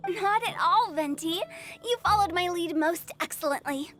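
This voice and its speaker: A woman's voice: extremely high pitch, moderate pace, a bit bright, faint music behind. A woman voicing a quirky, mischievous young woman in a video game, chipper and teasing with flowery, theatrical phrasing. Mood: gracious, impressed. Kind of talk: animated game dialogue acting.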